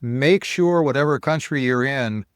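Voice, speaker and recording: Smooth-voiced American podcast host. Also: male voice, mid-range voice, a little slow, dry recording, studio quality. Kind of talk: casual interview chat.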